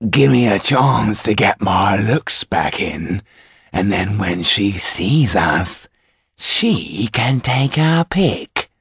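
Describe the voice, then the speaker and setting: A man doing a gruff one-eyed rogue. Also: fairly low pitch, big pitch swings, slow pace, a bit bright, dry recording, clean studio sound, heavily acted. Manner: threatening, blustering. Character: gruff, menacing male rogue with glass eye.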